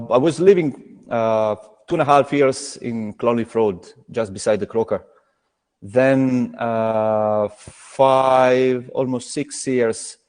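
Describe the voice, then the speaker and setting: A man's voice: medium pitch, a little mellow. Guy talking beer in english, lots of ums and restarts, echoey reverb. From Italy. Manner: halting, many restarts and fillers. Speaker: craft brewer, guest. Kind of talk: casual video call chat.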